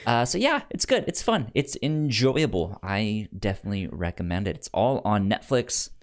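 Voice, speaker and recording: A man's voice: fairly low pitch, sing-song, moderate pace. A man hosting a podcast in casual, informal chat, repeating words for emphasis. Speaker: podcast host.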